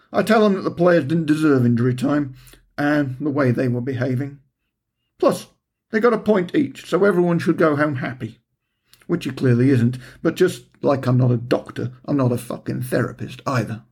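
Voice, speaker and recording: A man's voice: mid-range voice, a little mellow, husky. A man from England reading his own first-person story as scripted narration, with a wry, dryly humorous delivery. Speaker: author reading own audiobook chapter.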